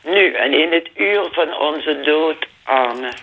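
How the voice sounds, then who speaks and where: A man answering the rosary prayers in a steady, recited way, heard over a phone line. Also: fairly high pitch, takes it slowly. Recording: tinny phone line with faint noise.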